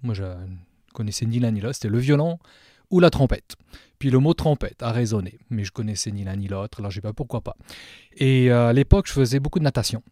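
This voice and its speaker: A man from France with a French accent, a guest trumpeter and music teacher telling his story in a relaxed, self-mocking way with many fillers. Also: medium pitch, fast, no echo, clean studio sound. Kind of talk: relaxed podcast interview.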